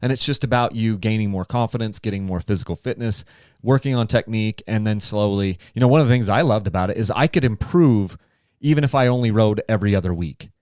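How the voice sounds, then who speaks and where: Low-voiced man hosting a podcast. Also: fast talker, a little mellow, no room sound, studio quality. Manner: thoughtful, rambling, restarts often. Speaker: podcast host. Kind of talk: casual interview conversation. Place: home office.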